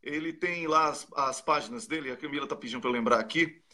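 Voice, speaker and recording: Male talk show host, fairly high bright voice, informal and jokey, chatting about politics. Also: quick pace.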